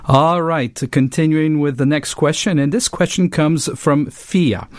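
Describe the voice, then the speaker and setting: A man's voice: a little high, fairly even intonation, dry recording, studio quality. Swiss French-accented man, friendly co-host. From French-speaking Switzerland. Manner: friendly, explanatory, with frequent "uh" pauses. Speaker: radio co-host.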